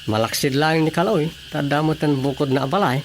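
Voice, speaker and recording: A man's voice: a little high, brisk, slight background noise, lightly acted. A man acting as an easygoing village councilman in a radio drama comedy, muttering with hesitant interjections. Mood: conversational. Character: male village councilman, casual, muttering, easygoing local official.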